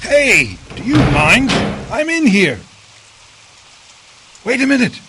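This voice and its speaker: Casting: male actor as whiny, flustered party guest, high swooping voice, comic radio play, noisy recording. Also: wildly swooping pitch, slow pace, heavily acted. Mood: flustered, indignant. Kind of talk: comic audio drama acting. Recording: noisy, clicking, rain-like background.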